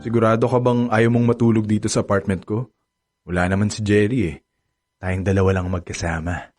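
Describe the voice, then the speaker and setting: An actor playing a cheating young college boyfriend who turns cruel and taunting, in a radio drama. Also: male voice, on the low side, little pitch movement, brisk, darkish tone, faint music behind, lightly acted. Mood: coaxing. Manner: taunting, mocking laughter.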